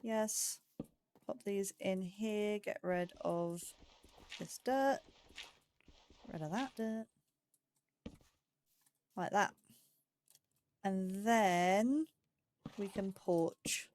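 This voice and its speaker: A woman hosting a slow game series, chatting to herself as she builds, with phrasing and startled yelps when danger appears. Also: medium pitch, darkish tone, a little hiss or hum. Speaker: let's play host. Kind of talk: casual gameplay commentary.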